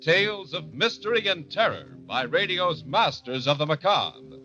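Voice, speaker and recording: An actor playing a grave radio announcer, presenting a mystery show in a measured, grandiose 1940s style. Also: male voice, on the high side, very animated. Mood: dramatic. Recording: dull vintage radio recording, loud music behind. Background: dramatic music.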